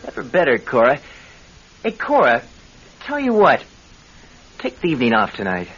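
An actor playing a scheming husband, glib and coaxing, in an old-time radio drama. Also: male voice, medium pitch, wildly swooping pitch, normal speed, fairly bright tone, slightly rough, soft background music, heavily acted. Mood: breezy, coaxing. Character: scheming husband, smooth and glib, talking sweetly. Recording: muffled, hissy vintage radio recording.

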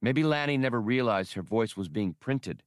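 A man's voice: mid-range voice, animated, normal speed, no echo, clean studio sound, close to an everyday voice. A man voicing an older, cautious adventurer who steadies his companions in an audiobook. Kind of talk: audiobook character voice.

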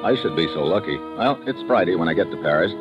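An actor playing a wry, hard-boiled American secret agent in an old radio drama. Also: male voice, deep voice, lively intonation, quick pace. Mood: sardonic. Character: wry, tough male American secret agent narrating his own case. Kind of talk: 1950s radio drama acting. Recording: dull old radio recording, music behind.

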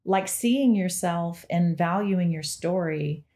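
Woman therapist, lowish voice, encouraging and unhurried. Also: warm tone. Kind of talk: casual conversation.

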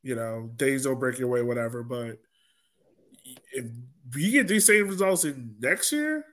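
A man's voice: mid-range voice, expressive, measured pace, fairly bright tone. Casual man hosting a sports podcast. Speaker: podcast host.

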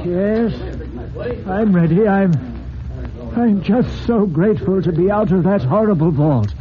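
Shaken confessing thief, high voice, apologetic, halting, meek, vintage radio drama, dull sound. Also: male voice, crowd noise. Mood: contrite, resigned. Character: contrite, shaken rescued male thief, meekly confessing. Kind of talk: old-time radio drama acting. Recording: dull old radio recording with background clamor.

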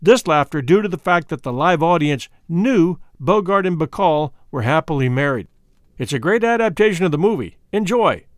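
A man's voice: a little high, animated, moderate pace, dry recording, clean studio sound. A man hosting, reading a scripted introduction. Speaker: host.

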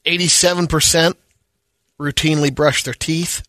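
A man co-hosting a radio show, reading news items in a steady, matter-of-fact way. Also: a little high, normal speed, dry recording, clean studio sound.

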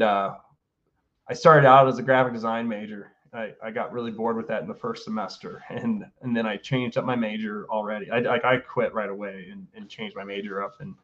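A man's voice: lowish voice, moderate pace, a bit bright, highs cut off. A man of about forty, a guest artist chatting casually over a video call, rambling with lots of fillers and restarts. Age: 40s. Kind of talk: casual interview chat.

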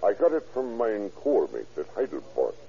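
A sinister scientist. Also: male voice, fairly low pitch, huge pitch swings, moderate pace, vocal fry, mellow, heavily acted. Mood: sly. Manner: stammering, sly, with menacing chuckles. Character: sinister German scientist, middle-aged man, murderous. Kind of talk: 1940s radio acting. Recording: dull old radio recording.

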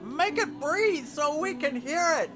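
A woman's voice: high voice, lively intonation, measured pace, fairly bright tone, soft background music. An actress playing a rowdy, teasing female tavern patron calling out in a fantasy audio drama.

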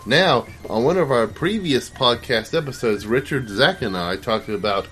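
A man's voice: mid-range voice, animated, normal speed, a bit bright, poor audio. A man introducing his podcast segment in a presenter's style on a computer microphone. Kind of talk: scripted-sounding podcast introduction. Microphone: proper computer microphone.

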